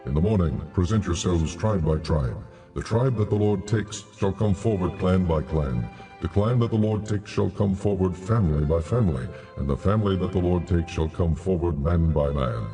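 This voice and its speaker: A stern, commanding God for a scripture audio drama. The voice is low and dark, solemn and deliberate, full of authority. It sits at some distance with music underneath. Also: male voice. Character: God, stern commanding deity rebuking his people.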